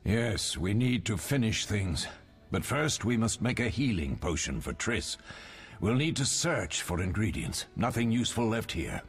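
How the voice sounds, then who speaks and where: An actor playing a weary, fatherly older warrior mentor in a fantasy game, measured and grave. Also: on the low side, big pitch swings, slightly rough, faint music behind, heavily acted. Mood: steady, resolved.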